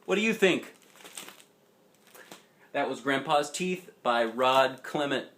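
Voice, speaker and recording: A man narrates a children's picture book in a highly animated voice. He leans on key words dramatically, then slips into casual asides and questions to whoever is listening. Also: slow pace. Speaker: dad reading a picture book aloud, show host. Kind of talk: expressive children's storybook narration with chatty asides.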